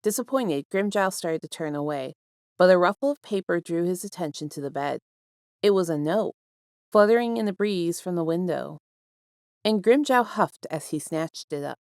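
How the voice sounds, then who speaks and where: A woman reading fiction aloud as an expressive, scripted narration with measured, deliberate phrasing. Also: fairly low pitch, no room sound, clean studio sound. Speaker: fan fiction reader.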